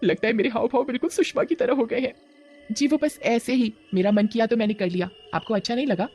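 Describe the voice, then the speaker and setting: A man's voice: very high-pitched, fairly quick, soft background music. A man voicing a sly female witch who poses as a servant woman, with a wheedling manner, in a horror story. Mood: coy. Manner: wheedling, flattering.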